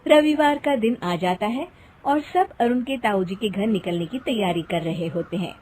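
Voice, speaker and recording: A woman's voice: medium pitch, moderate pace, darkish tone, breathy edge. A woman narrator reading a scripted family moral story.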